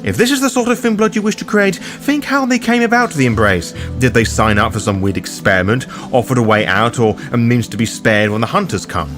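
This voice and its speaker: A man's voice: mid-range voice, fairly quick, few pauses, music in the background. A man narrating a scripted guide as its host, with wry, cheeky asides. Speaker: host of a tabletop roleplaying guide.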